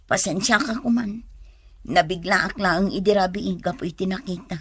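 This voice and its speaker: A woman's voice: medium pitch, moderate pace, husky, no room sound, lightly acted. An actress playing a hurt, jealous young girlfriend in a Filipino radio drama, with a Filipino accent and an emotional, pleading or scolding delivery. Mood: apologetic. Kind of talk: Philippine radio drama acting.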